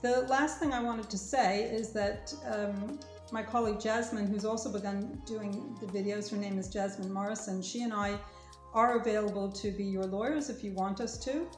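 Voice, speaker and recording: A woman's voice: medium pitch, moderate pace, reverberant, soft background music. A woman lawyer speaking to viewers in an earnest, careful way, urging them to listen to everything she explains. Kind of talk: informational talk to viewers.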